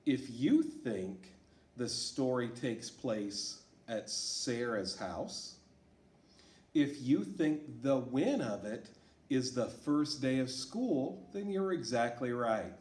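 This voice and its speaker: A man reading a storybook aloud to children as a teacher, asking them questions in an encouraging way. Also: mid-range voice. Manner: slow, lively, encouraging teacher talk. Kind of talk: read-aloud lesson for children. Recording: echoey room.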